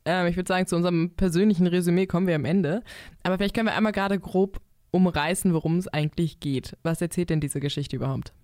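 A woman's voice: on the low side, fast talker, a little mellow, no echo, studio quality. A 23-year-old woman hosting a book podcast, moderating playfully and steering the discussion. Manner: playful, guides the talk. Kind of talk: casual moderating.

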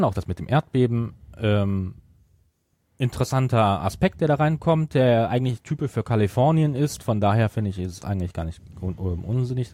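A man's voice: on the low side, warm tone, dry recording. A man co-hosting a podcast, giving his opinion in a casual review discussion. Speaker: podcast co-host.

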